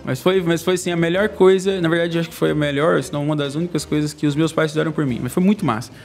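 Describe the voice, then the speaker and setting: A young Brazilian man talks fast and loose, a slightly high voice racing through slang. He is a podcast guest telling his own story with candor, with faint music underneath. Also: darkish tone. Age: young adult. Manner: fast, slangy, candid, laughs between lines. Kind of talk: casual storytelling interview.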